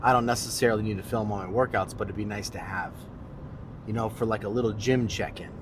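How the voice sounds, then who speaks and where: A man's voice: on the low side, steady tone, quick pace, background noise. Nasal man vlogging from his car. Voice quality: nasal, congested. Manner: joking, boastful. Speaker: fitness vlogger. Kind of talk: casual vlog while driving.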